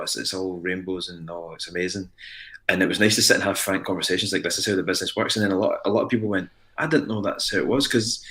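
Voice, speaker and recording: A young Scottish man, a musician guest in a casual interview, talking in an animated, rambling way with frequent restarts. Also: deep voice. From Scotland.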